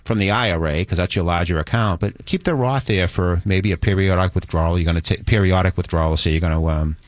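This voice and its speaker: A middle-aged man, a financial advisor, explaining retirement savings in a casual chat, halting with restarts and fillers, his voice a little worn. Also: deep voice, no echo. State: losing his voice.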